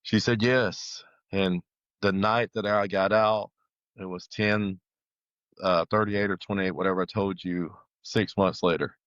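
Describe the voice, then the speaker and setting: Male interview guest, deep register, conversational and halting storyteller who quotes dialogue, very dry sound. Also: steady tone, fairly bright tone, no room sound, highs cut off. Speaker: guest telling his life story. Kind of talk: casual personal testimony.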